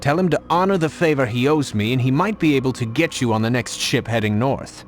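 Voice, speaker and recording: An actor playing a helpful, level-headed resistance informant in a video game, explaining in a matter-of-fact way. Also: male voice, mid-range voice, lively intonation, a little fast, soft background music, natural voice. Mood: businesslike. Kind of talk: video game cutscene acting.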